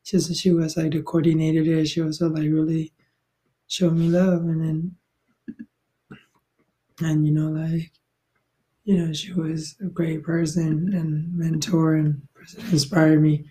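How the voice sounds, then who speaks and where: A man's voice: fairly high pitch, level tone, measured pace, pauses often, dark tone, rough recording. A young man from Thailand speaking as a podcast guest. He talks in a rambling, laid-back way, with many fillers and restarts. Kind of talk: casual conversational interview.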